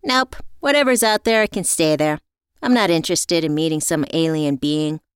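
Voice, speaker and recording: A woman's voice: fairly low pitch, expressive, moderate pace, smooth, no room sound, studio quality, natural voice. A woman voicing a chatty, teasing female island librarian in an audiobook, playful and frank.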